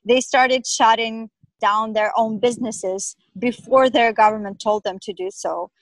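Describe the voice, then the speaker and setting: A woman's voice: a little high, steady tone, measured pace, no echo, low-quality recording. Hesitant young woman doctor, thoughtful. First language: not English. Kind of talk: interview answers.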